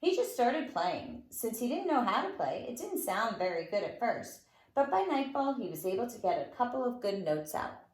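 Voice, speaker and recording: A woman's voice: a little high, big pitch swings, normal speed. A woman reading a storybook aloud to young children as a teacher, expressively and with dramatic emphasis. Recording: roomy, echoing room sound.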